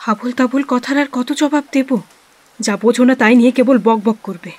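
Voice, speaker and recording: Woman playing a high-voiced, defiant wife. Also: moderate pace, darkish tone, no echo, natural voice. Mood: exasperated. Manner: retorting, evasive, exasperated. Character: long-suffering, defiant young wife of a sick man. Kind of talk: Bengali audio drama acting.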